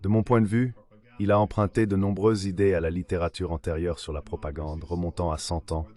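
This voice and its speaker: A man delivering a translated voice-over of a political discussion in a measured, scripted-sounding way. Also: low voice, flat delivery, a little mellow.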